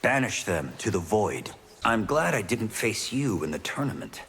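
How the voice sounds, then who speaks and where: An actor playing a polite, friendly and curious young champion fighter, speaking courteously in a video game. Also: male voice, fairly low pitch, very animated, moderate pace, a bit bright. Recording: faint background noise.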